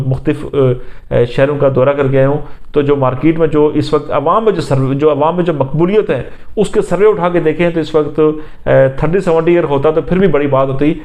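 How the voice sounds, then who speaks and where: A man with a Pakistani accent giving unscripted political news commentary, recounting things in a halting, repetitive way with many fillers. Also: a little high, highs cut off.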